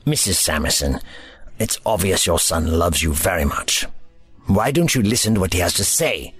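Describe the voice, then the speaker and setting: A deep-voiced, eccentric investigator, played by a man in an audio drama. He speaks politely and formally, with calm authority, and his pitch moves about a lot over background music. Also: moderate pace, breathy edge, exaggerated voice. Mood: calm, explanatory. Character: eccentric, courteous male time-travelling investigator, calm and analytical.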